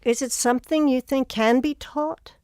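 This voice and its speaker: A woman's voice: high-pitched, steady tone, moderate pace, darkish tone, clear, resonant tone, no echo, clean studio sound. A woman hosting an arts radio program, moving between scripted announcements and interview questions.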